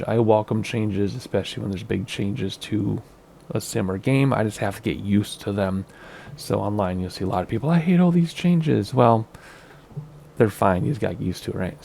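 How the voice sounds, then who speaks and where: A man with a raspy voice from smoke, chatting casually and thinking aloud as he clicks through game menus on his home computer. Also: on the low side, warm tone. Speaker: flight sim video host. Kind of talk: casual gameplay commentary.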